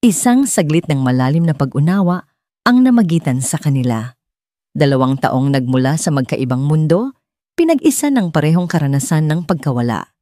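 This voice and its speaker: A woman's voice: sits low, animated, normal speed, warm tone, no echo, studio quality. A woman reading a scripted dramatic story as an audiobook narrator.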